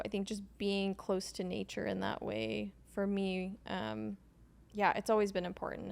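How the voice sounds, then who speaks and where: Gravelly-voiced Swedish-born woman, hesitant. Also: mid-range voice. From Sweden. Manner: thoughtful, hesitant, many ums and likes, laughs often. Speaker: podcast guest. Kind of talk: casual conversational interview.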